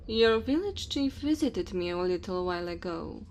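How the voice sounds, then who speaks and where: A woman voicing a dominant, teasing female dragon in an ASMR roleplay, speaking slowly and theatrically to the listener. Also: fairly low pitch, expressive, darkish tone. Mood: curious, amused. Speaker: ASMR voice performer. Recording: faint cave ambience noise. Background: cave and fire sound effects.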